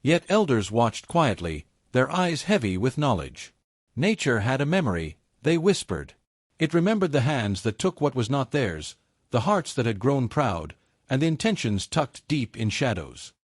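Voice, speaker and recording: A man narrating a scripted folktale in a solemn, portentous way, in short measured phrases. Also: medium pitch, very animated, dry recording, clean studio sound. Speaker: storyteller, narrator.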